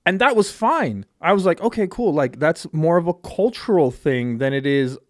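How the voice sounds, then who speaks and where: A blunt, foul-mouthed man who was born in Armenia hosts a casual podcast chat. His pitch swings widely as he talks, and his voice sounds close and dry, with no room echo. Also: moderate pace. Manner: blunt, profane, animated storyteller. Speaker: podcast host.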